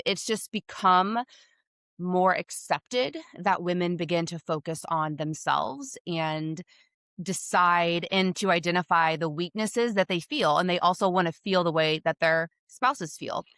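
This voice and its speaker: A woman with a low, slightly scratchy voice who speaks openly and with confidence, explaining hormone care to a podcast host. She is recorded dry and close, with no room sound. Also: moderate pace, fairly bright tone, no echo. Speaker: women's hormone health provider. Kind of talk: podcast interview.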